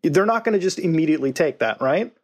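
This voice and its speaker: Man, heated debater, slightly high voice, keeps cutting in. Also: animated, fast. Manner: argumentative, talks over others.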